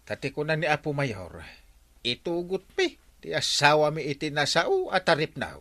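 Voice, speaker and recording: An actor with a Filipino accent playing an excitable, nosy villager who exclaims dramatically in a radio comedy drama. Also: male voice, on the high side, normal speed, heavily acted. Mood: insistent. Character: excitable, nosy villager called Enteng, pleading and teasing.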